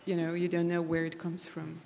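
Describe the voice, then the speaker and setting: A woman's voice: fairly low pitch, monotone, a little fast, creaky, darkish tone, slight background noise. A woman artist speaking on a panel, searching for words with many restarts. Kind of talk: panel discussion. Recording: long hall reverb.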